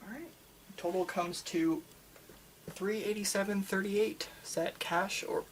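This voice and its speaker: A person doing a casual ASMR roleplay as a shop worker, chatting in a rambling, halting, enthusiastic way. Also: mid-range voice, fairly even intonation, slight background noise, low-quality recording. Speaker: manga store worker in a roleplay. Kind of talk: ASMR roleplay, casual customer-service chat.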